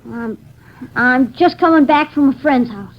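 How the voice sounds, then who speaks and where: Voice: sits high, measured pace. An actor voicing a small, guarded runaway boy who acts tough in a 1950s radio drama, speaking in terse, defiant slang. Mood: guarded, matter-of-fact. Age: child figure, about nine. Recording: muffled vintage 1950s radio recording.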